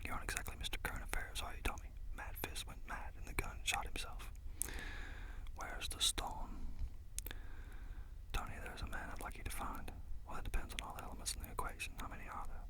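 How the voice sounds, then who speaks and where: A person whispering rough film lines. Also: fairly quick. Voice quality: breathy unvoiced whisper. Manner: whispering throughout, swapping between many characters. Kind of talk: whispered film-scene impressions.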